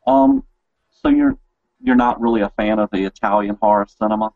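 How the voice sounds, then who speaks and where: Gravelly man with swooping pitch. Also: huge pitch swings, moderate pace, dry recording, narrow, tinny audio. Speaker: interview host. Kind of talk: casual chat.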